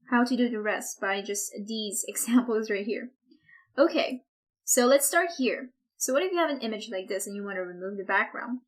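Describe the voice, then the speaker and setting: Slightly hoarse young-sounding woman tutoring. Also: on the high side, poor audio. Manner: casual, chatty, lots of "like" and "kind of". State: losing her voice, a bit hoarse. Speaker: online course instructor. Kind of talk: screen-recorded software tutorial.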